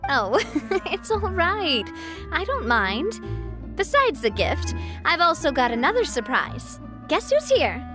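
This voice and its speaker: A caring young leader in a video game, with a high voice. She sounds responsible and protective, with faint music underneath. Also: big pitch swings, slow, heavily acted. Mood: cheerful.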